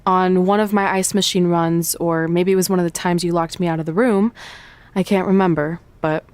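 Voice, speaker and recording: A woman's voice: lowish voice, steady tone, moderate pace, darkish tone, clean tone, dry recording, close to an everyday voice. A woman playing a determined, loyal pro wrestler in a video game cutscene. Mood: earnest.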